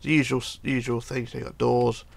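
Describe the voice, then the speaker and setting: Guy with a cold doing a game build tutorial at his desk, gravelly and hoarse, keeps counting blocks under his breath. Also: mid-range voice, level tone, fairly quick. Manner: chatty, counts blocks aloud, often trails into mumbling. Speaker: tutorial host. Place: home computer desk.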